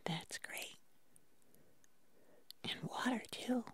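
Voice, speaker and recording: Slow, whispering ASMR woman. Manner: gentle, slow, pampering, full of pauses. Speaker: ASMR performer. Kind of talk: whispered ASMR personal attention roleplay.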